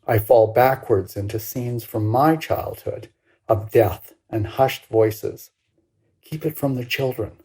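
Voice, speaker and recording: An older woman over sixty reads a personal story expressively, with deliberate, dramatic phrasing and pauses. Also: rumbling low, warm tone, slightly rough. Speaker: writer reading own work.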